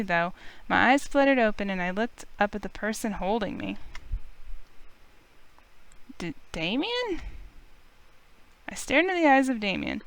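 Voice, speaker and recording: A woman with a smooth, clear voice, reading a visual novel aloud as expressive first-person story narration. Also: medium pitch, poor audio.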